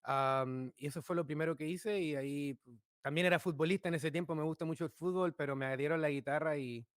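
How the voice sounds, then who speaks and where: A man from northern Chile with a Chilean accent, a musician in his thirties, talking about his life in a relaxed way with many pauses and filler sounds. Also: fairly high pitch, dry recording, studio quality. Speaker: musician, guest. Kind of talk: conversational interview.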